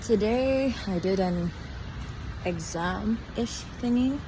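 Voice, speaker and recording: Young female podcaster, weary and halting, unhurried casual diary ramble, clearly noisy outdoor recording with traffic. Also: medium pitch. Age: young adult. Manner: halting, trailing off mid-thought. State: tired. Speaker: podcast host. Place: outdoors on a walk in a town. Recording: outdoor street noise with passing cars. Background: traffic and urban ambience.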